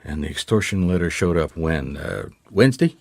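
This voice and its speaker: A man's voice: low-pitched, very animated, moderate pace, darkish tone, dry recording, full character voice. An actor playing a world-weary male private detective in a noir radio drama, dry and probing. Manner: dry, probing, hesitant coaxing.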